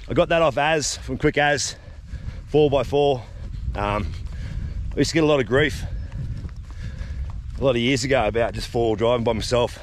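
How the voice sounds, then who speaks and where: A man's voice: mid-range voice, moderate pace, long pauses, a little hiss or hum. A man in his fifties with an Australian accent, chatting casually while hiking on open plains, talking into an action camera. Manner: laid-back, rambling, says "eh" and "yeah". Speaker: solo outback camping vlogger. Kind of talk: casual outdoor vlog chat. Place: outdoors on open grassy high plains. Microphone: action camera worn or handheld while walking.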